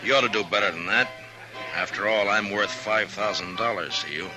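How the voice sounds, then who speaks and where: An actor playing a tough, unhurried American frontier marshal in a 1950s radio western, blunt and coolly goading. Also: male voice, fairly low pitch, over music, lightly acted, poor audio.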